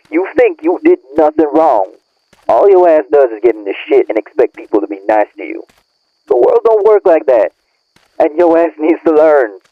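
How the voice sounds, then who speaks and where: A man's voice: mid-range voice, no echo. A man acting a bitter, irritated young friend in an audio roleplay, scolding in profane slang through a phone-like filter. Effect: phone or radio filter. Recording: narrow tinny phone-like band.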